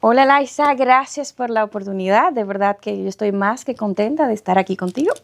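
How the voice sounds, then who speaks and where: A middle-aged woman with a Dominican accent, the guest of an interview podcast, telling her life story in a lively way with frequent laughter. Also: fairly high pitch, fairly quick, creaky. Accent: Dominican Spanish. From Dominican Republic. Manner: warm, animated storyteller, laughs often.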